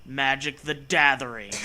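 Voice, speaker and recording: A man's voice: medium pitch, slow pace, bright, forceful tone, a little hiss or hum. A man hosting a comedy show, reading a story aloud mockingly with sarcastic, profane, theatrical asides.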